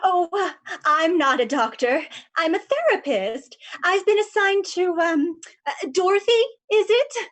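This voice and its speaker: A woman's voice: very high-pitched, animated, a little slow. Woman doing a flaky, flustered woman therapist. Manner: flustered, rambling with fillers. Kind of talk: livestream script reading.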